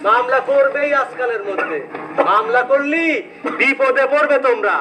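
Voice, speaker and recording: A man's voice: very high voice, moderate pace, noisy background. A man playing an insistent village man in an acted story drama, speaking colloquially. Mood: insistent, warning. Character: Kailash, insistent village man warning two aunts. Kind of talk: acted short-story drama in rural Bengali.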